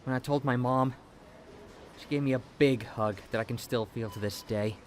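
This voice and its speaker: A man's voice: mid-range voice, normal speed, a little hiss or hum, natural voice. A man playing a friendly, upbeat man in his early twenties in an audio drama, with a casual, playful manner. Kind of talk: audio drama acting of fan fiction.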